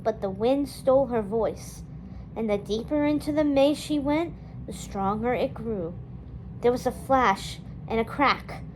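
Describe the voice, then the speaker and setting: Female storyteller for children's fiction read-aloud, fairly high voice, some background noise. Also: unhurried, rough recording.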